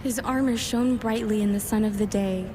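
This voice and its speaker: A woman's voice: medium pitch, fairly even intonation, normal speed, noisy background, lightly acted. A woman playing an eager, self-doubting warlock scholar in an audio drama, chatty and wry as she narrates her own thoughts. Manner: wry, chatty inner monologue with muttered self-talk. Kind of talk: first-person audio drama narration and dialogue.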